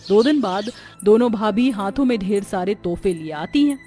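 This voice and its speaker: A woman's voice: medium pitch, lively intonation, moderate pace, mellow, faint music behind. A woman narrator reading a scripted cartoon family story.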